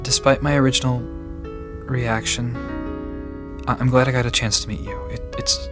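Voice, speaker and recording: Guy playing a young male vet, gentle n polite, bit stammery, mid voice, soft music under. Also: medium pitch, fairly even intonation, moderate pace, lightly acted. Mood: sombre, earnest. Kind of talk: audio drama acting.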